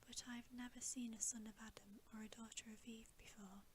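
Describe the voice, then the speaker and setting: A woman's voice: fairly high pitch, flat delivery, a little fast, slight background noise, natural voice. A woman voicing a polite, flustered, slightly melancholy male fawn from a storybook, whispered with formal, hesitant courtesy. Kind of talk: whispered ASMR storybook reading.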